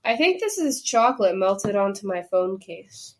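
A woman's voice: mid-range voice, sing-song, normal speed. A young woman co-hosting a podcast among friends, dropping dry, brief asides. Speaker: podcast co-host. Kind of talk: casual chat among friends.

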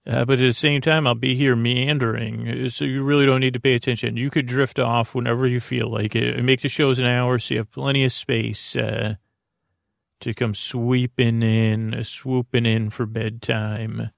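A man hosting a podcast meant for falling asleep, rambling slowly with many fillers and restarts. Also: medium pitch, little pitch movement, gravelly, no echo, clean studio sound. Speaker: podcast host. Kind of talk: rambling sleep-podcast monologue.

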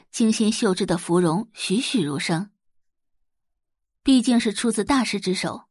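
Woman, audiobook narrator, scripted dramatic reading, very varied intonation, faintly husky. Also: medium pitch, no room sound, studio quality.

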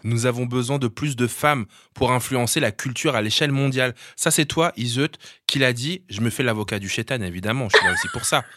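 A man's voice: medium pitch, a little fast, hardly any pauses, bright, forceful tone, no echo, studio quality. A man hosting a casual interview, playful and loose in his phrasing. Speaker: host.

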